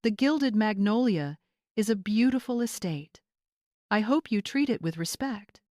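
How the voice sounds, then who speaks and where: Calm, dignified older mother, read by a woman. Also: mid-range voice, no echo, studio quality, pitched above the performer's own voice, close to an everyday voice. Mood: calm, firm. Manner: quiet, measured, restrained.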